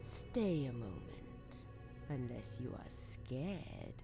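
An actress playing a calm, cryptic occult seer in an audio drama, soft and measured. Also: female voice, a bass voice, creaky, over music, full character voice. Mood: coaxing.

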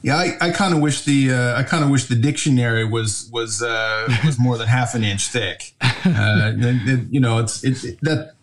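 A man's voice: mid-range voice, normal speed, over a noisy crowd. A man from the United States, a guest in a casual interview, talking in a rambling way with many restarts. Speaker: podcast guest, brewery owner.

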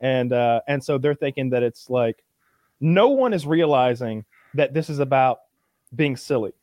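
A man's voice: medium pitch, expressive, normal speed, darkish tone, no echo, clean studio sound. A man co-hosting a casual podcast chat, rambling and stacking filler words as he talks. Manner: rambling, repeats "like" often. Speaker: podcast co-host.

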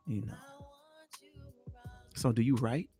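A young man in his twenties runs a relaxed studio chat with easy, slangy banter. His voice sits at a middle pitch, and faint music plays in the background. He sounds like a regular hosting his own show. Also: slow. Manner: laid-back, slangy, hype-man banter. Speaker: host. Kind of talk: casual chat. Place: small studio room.

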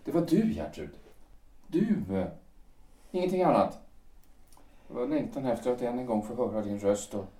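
An actor playing a lovelorn, brooding man who speaks in hesitant, halting, earnest phrases, heard from a distance in an echoey room. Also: mid-range voice, expressive, dark tone, lightly acted. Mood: longing. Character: brooding, lovelorn middle-aged male wanderer.

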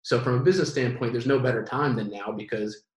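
A man's voice: medium pitch, fast, reverberant. A man in his late twenties, a podcast guest, talking casually and at length with lots of restarts and fillers. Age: 20s. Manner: rambling, animated, many restarts. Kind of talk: casual conversation.

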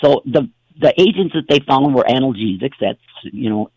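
Gravelly husky man, rambling talk. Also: mid-range voice, animated, moderate pace, darkish tone, dry recording, poor audio. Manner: rambling, with many fillers and restarts. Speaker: talk show host. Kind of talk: casual conversational talk.

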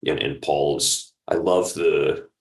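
A very low-pitched man speaks in an echoey room. He talks in a casual interview style, enthusiastic and rambling, with lots of "like" and "you know". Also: fairly even intonation, slow pace. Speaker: audio drama creator and producer, guest.

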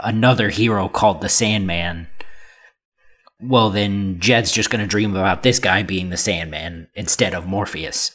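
A man in his thirties co-hosting a comics podcast, explaining his take in halting, hesitant bursts with dry jokes. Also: on the low side, fairly bright tone. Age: 30s. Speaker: podcast co-host. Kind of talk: casual book club discussion.

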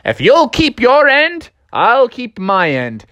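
A woman puts on a little old fish wizard for a children's tale. He speaks in deliberate, theatrical pronouncements, with animated pitch and a dry, close sound. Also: no room sound, exaggerated voice. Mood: solemn.

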